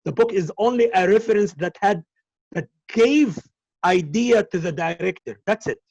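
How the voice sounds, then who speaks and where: A man whose first language is Arabic, answering interview questions about filmmaking in a halting way and often repeating words. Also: high-pitched, animated, darkish tone, no room sound, dull audio. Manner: halting, repeats words while searching for them.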